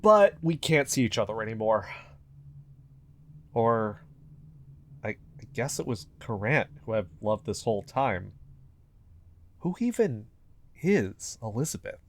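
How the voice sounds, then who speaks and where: Man acting anxious fugitive podcaster, swinging pitch. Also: very animated. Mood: heartbroken, confused. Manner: halting, muttering, talking to himself. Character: anxious fugitive male conspiracy podcaster, earnest and paranoid. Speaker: investigative podcast host in an audio fiction. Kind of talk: audio drama found-recording monologue.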